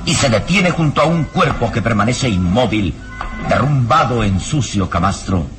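An actor serving as the solemn, suspenseful narrator of an old radio serial. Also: male voice, mid-range voice, animated, moderate pace, music in the background, lightly acted. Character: solemn storyteller of suspense tales.

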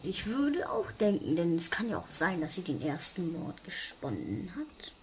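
A woman playing a suspicious, bumbling police inspector in an amateur comic radio play, melodramatic and hesitant, then suddenly loud. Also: fairly low pitch, wildly swooping pitch, normal speed. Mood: pondering.

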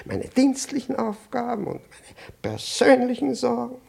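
An actor playing a pompous, flustered elderly privy councillor in a German radio play from the early sixties, stammering, repeating himself and pedantically indignant. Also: male voice, extremely high pitch, huge pitch swings, a little slow, creaky, darkish tone, slightly rough, heavily acted. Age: older.

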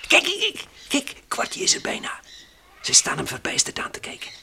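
An actor playing a brave, level-headed space adventurer in a Dutch radio play, hearty, reassuring and gently scolding. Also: male voice, extremely high pitch, wildly swooping pitch, moderate pace, gravelly, in a whisper, heavily acted. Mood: awed, excited.

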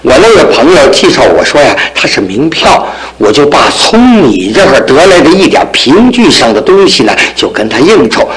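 A man acting in a radio drama as a bumbling, good-hearted bachelor in his thirties, stammering and rambling excitably. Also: medium pitch, moderate pace, hardly any pauses, roomy sound, lightly acted, poor audio. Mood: sheepish. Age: 30s.

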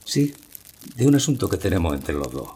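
An actor as an arrogant, low-voiced Spanish village tough. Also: male voice, sing-song, normal speed, dark tone, breathy edge, heavily acted. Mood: cocky. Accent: Spanish, from Spain. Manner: insolent, clipped, mocking. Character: arrogant, taunting male village tough and seducer. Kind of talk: radio play acting.

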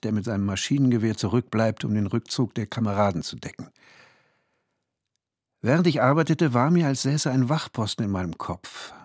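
A man's voice: mid-range voice, darkish tone, no echo, studio quality. An actor playing an anxious, introspective married man who tells his own story in a radio drama. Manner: introspective, switches from measured narration to agitated pleading. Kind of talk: radio drama narration and dialogue.

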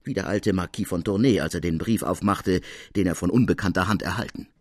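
A man's voice: sits low, fairly quick, darkish tone, no echo, studio quality. A man voicing an honest, frightened servant in an audiobook, with agitated and urgent delivery. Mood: grave, anxious. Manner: agitated, breathless storytelling, urgent. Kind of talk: dramatic audiobook character reading.

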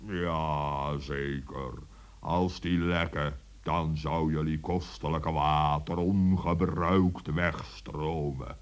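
A man playing a huge, good-natured wizard giant in a children's radio play, talking in a deliberate, kindly way. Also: a bass voice, steady tone. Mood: calm, instructive.

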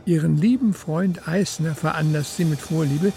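Mellow, gravelly male narrator, higher voice. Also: moderate pace, faint music behind. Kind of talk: scripted literary narration for a radio play.

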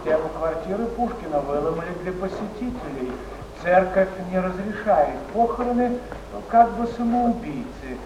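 An actor playing a sober chronicler reporting a death as theatrical documentary recitation. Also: male voice, high voice, animated, moderate pace. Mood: grave, factual. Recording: distant, echoey, noisy stage recording.

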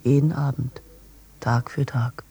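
A man giving a scripted literary reading for an audio drama, measured and broken into short phrases. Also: mid-range voice, level tone, creaky, mellow, slightly rough. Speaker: literary reader.

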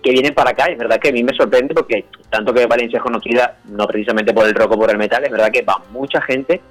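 A man's voice: medium pitch, quick pace, a bit bright. A man from Venezuela, a band founder, answering interview questions hesitantly, with many restarts. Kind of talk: casual interview over a call.

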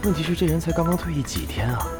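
A man's voice: a little high, very animated, quick pace. An actor playing an uneasy, grumbling young male esports team member in a Chinese audio drama, muttering asides. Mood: incredulous grumbling. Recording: loud background music over muttered voice.

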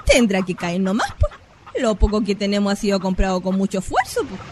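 Woman playing chilean mom from a poor neighbourhood, big swoopy pitch, radio drama, noisy behind. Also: very animated, fairly quick, close to an everyday voice. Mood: resigned. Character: generous, resigned working-class Chilean mother and neighbour. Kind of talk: radio play acting. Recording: noisy, farmyard-like ambience.

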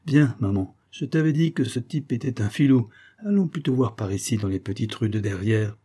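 A man playing a grumbling, suspicious tourist husband, gruff, sardonic and colloquial. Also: mid-range voice, animated, moderate pace, dark tone. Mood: gruff haggling.

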